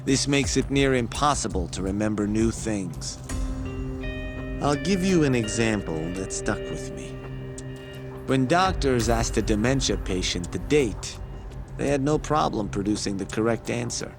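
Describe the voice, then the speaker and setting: An actor playing a patient, earnest medical expert who explains things in a measured, articulate, didactic way in a video game. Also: male voice, mid-range voice, animated. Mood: patient explaining. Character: learned male medical expert, patient, earnest, wryly teasing lecturer. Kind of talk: English video game dub, explanatory dialogue. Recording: background music under the voice.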